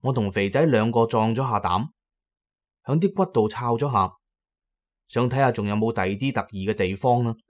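A man's voice: medium pitch, normal speed. A man with a Hong Kong Cantonese accent narrating an adventure story in the first person, as in an audio drama.

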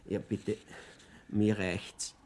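Mild middle-aged postman, lowish voice, unhurried, dry, quietly defiant, radio play. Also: little pitch movement. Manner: simple, unhurried, dryly matter-of-fact. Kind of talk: German radio drama acting.

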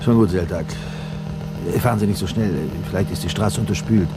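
A man's voice: lowish voice, brisk, lightly acted. An actor playing a weary, nostalgic older man in a dystopian future, hesitant and brooding, in a 1970s German radio play. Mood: anxious, irritable. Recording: 1970s radio drama, loud vehicle hum. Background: humming vehicle engine.

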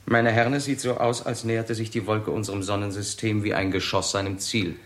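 A man plays a sober young physicist in a sixties radio play. His low voice sets out each step precisely and deliberately, like a methodical lecture. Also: flat delivery, lightly acted. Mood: sober, analytical. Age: 20s. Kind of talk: 1960s German radio drama acting.

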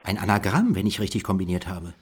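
A man's voice: deep voice, animated, quick pace, slightly rough. An actor playing a curious male investigator puzzling over a cold case in a radio drama.

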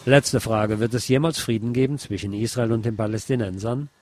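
Male radio host for formal scripted interview reading, mid-pitched, with loud guitar underneath. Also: fairly even intonation, brisk. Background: loud guitar music.

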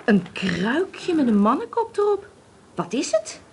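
An actress playing a concerned, curious companion with a practical manner in a radio drama. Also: female voice, sits high, wildly swooping pitch, unhurried, background noise, exaggerated voice. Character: concerned, curious female companion of a troubled man.

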